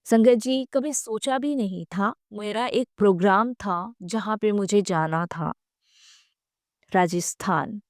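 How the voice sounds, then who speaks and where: Woman, mid-pitched voice, slow pace, reverent scripted testimony narration, earnest, dry recording. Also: no room sound. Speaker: devotional channel narrator.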